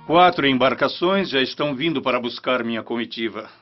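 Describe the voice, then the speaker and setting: An actor playing a commanding, courteous yet insistent Roman emperor in a radio drama, with formal, stately speech. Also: male voice, medium pitch, brisk, faint music behind, natural voice. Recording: dull, band-limited radio recording.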